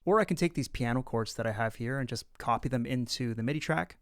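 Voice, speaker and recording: A man narrating an instructional software tutorial, explaining things casually and step by step. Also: mid-range voice, quick pace, loud music behind the voice.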